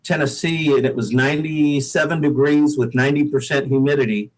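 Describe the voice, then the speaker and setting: Middle-aged man, American accent, mid-range pitch, relaxed conversational delivery, jokey streak, laptop call. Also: fairly quick, poor audio. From Oregon, United States. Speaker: guest singer-songwriter. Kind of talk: casual interview chat. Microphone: laptop on a video call.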